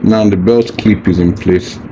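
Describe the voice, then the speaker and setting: Guy with a soft dark voice calmly explaining setup steps, repeats himself, bit of background noise. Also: on the low side, unhurried, low-quality recording. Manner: patient, reassuring, repeats himself. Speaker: product demonstrator. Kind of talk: hands-on unboxing tutorial, casual step-by-step instruction.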